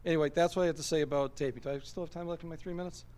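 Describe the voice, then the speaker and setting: A man speaking earnestly and deliberately as a member of the public at a local meeting, arguing his point at a microphone. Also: fairly high pitch, steady tone, darkish tone. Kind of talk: public comment speech at a local government meeting. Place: town committee meeting room. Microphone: standing at a public microphone.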